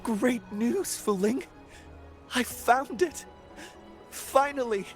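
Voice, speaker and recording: A lovestruck, desperate man in a game drama. He speaks very slowly and intensely, in a fairly light register for a man, with music underneath. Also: sits high. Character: devoted, feverish lover desperate to save their beloved. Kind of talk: video game drama acting.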